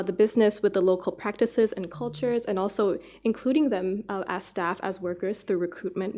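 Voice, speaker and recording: Medium-pitched woman moderating a session. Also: mellow. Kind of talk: spontaneous moderating of a panel discussion.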